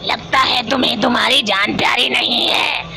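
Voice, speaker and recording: A woman voicing a wicked, gloating witch in a horror cartoon, speaking in slow, menacing threats with a creaky voice. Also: on the high side. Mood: furious. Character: wicked, gloating witch craving immortality through human sacrifice. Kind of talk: Hindi horror cartoon voice acting. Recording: loud music under the voice.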